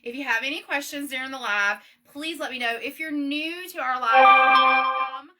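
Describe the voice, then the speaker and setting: A middle-aged woman with a Southern US accent, a shop owner talking loudly and quickly through a casual live product demonstration, with many ums and okays. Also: sits high, very noisy. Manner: loud, fast and chatty, with many ums and okays.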